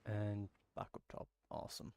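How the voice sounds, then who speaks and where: A man's voice: fairly low pitch, monotone, normal speed, gravelly, darkish tone. A man giving casual live commentary while playing a game, muttering and thinking aloud. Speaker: game streamer.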